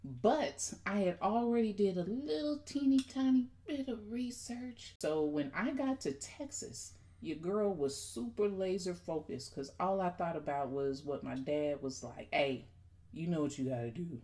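A woman's voice: fairly low pitch, expressive, a little slow, warm tone. A woman from the Midwest hosting a podcast, chatting and telling a story playfully and addressing her listeners directly. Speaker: podcast host. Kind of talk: casual conversational podcast.

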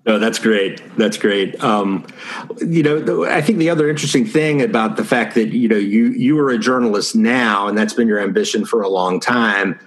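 A man's voice: fairly low pitch, normal speed. A man hosting an interview, asking questions in a friendly, loose way with fillers. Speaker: podcast host, interviewer. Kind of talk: casual interview talk.

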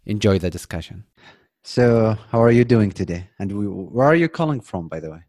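A man hosting a podcast interview, talking in a relaxed way with some stumbles and "like" fillers. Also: on the low side, moderate pace. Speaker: podcast host. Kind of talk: casual interview chat.

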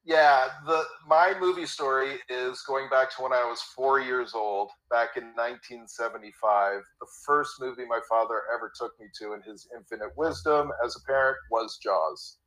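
A man's voice: mid-range voice, moderate pace, few pauses, a bit bright, poor audio. A Canadian man in his fifties with a slight cold, talking as a guest on a remote interview, eager and often repeating words as he starts a thought. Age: 50s. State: has a cold, a bit raspy.

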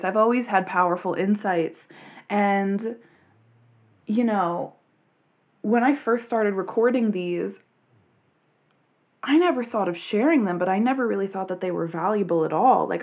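A woman hosting a podcast, talking in an earnest, rambling way with many fillers and restarts. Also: medium pitch. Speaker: podcast host. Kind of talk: reflective unscripted monologue.

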